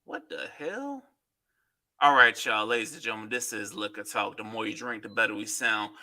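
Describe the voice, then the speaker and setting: A man's voice: on the low side, lively intonation, normal speed, bright, forceful tone. A man hosting a podcast, talking loosely in casual chat and swearing freely. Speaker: podcast host.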